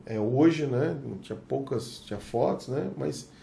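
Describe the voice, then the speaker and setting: Very gravelly man from Espírito Santo. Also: mid-range voice, unhurried, a little hiss or hum. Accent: Espírito Santo Brazilian Portuguese. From Brazil, Espírito Santo. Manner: lively storyteller who acts out the dialogue. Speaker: chef, podcast guest. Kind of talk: casual anecdote telling.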